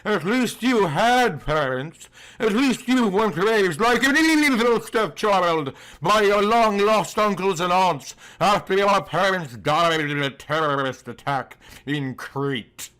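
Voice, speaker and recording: A man's voice: sits high, animated, takes it slowly, a bit bright, voice pushed up, full character voice, rough recording. A man voicing a syrupy, doting middle-aged rich wife in a soap-opera parody, cooing theatrically. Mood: consoling, tearful.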